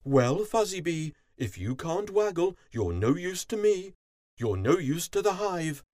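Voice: mid-range voice, sing-song, a little slow, darkish tone, no echo, clean studio sound, pitched above the performer's own voice. A storybook narrator voicing a cross, bossy queen bee who commands with regal authority. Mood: cross, booming.

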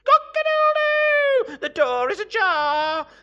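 A man voicing an excitable cockerel in a folk tale, crowing and emphatic. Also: extremely high pitch, slow, lo-fi sound, pitched above the performer's own voice, heavily acted. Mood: excited, insistent.

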